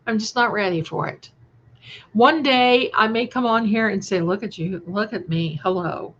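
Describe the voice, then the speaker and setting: A woman's voice: medium pitch, moderate pace, fairly bright tone, muffled recording. A woman in her sixties hosting a casual livestream, chatting affectionately with listeners and using endearments. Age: 60s. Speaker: livestream host. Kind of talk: casual live chat with viewers.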